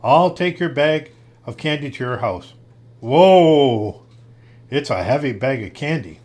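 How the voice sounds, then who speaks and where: A man's voice: medium pitch, expressive, measured pace, a little mellow, lightly acted. A man voicing a blunt, scolding but obliging policeman in a storybook, in an exclamatory manner. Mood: grudging, amazed.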